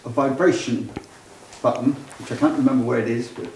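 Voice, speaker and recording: A man's voice: mid-range voice, steady tone, fairly quick, a little mellow. A man offering informal practical advice as a member of a club discussion. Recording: echoey room, distant mic, faint noise.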